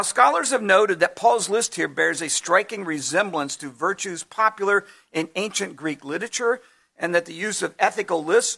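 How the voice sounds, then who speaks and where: A man preaching a sermon at a measured pace, his voice going hoarse, clearing his throat now and then. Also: sits high, sharp tone. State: losing his voice. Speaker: pastor.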